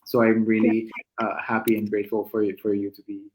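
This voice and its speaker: A man's voice: on the low side, monotone, a little fast, darkish tone, rough recording. A man hosting an online interview over a video call, polite and hesitant with many restarts. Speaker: host.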